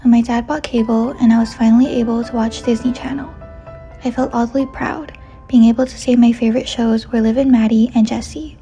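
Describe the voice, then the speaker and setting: A woman in her twenties from New York City, whose first language is Chinese, reading a reflective personal voice-over in a soft, measured way. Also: a little high, little pitch movement, soft background music. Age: 20s. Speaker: narrator. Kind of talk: scripted personal narration.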